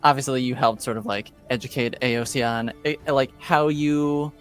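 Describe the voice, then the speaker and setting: A man narrating a tabletop roleplaying game as game master, in a hesitant, halting way. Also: mid-range voice, steady tone, fairly bright tone, smooth. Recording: music behind the voice. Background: background music.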